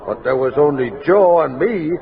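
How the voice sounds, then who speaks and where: Frontier scout: creaky, unhurried, plain-spoken and deferential, thin vintage radio sound. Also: male voice, on the high side, animated, narrow, tinny audio, crowd noise. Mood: sober, hesitant. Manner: hesitant, plain, respectful. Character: slow-spoken frontier scout reporting to his captain. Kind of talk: 1960s radio drama acting. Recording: thin, band-limited vintage radio recording.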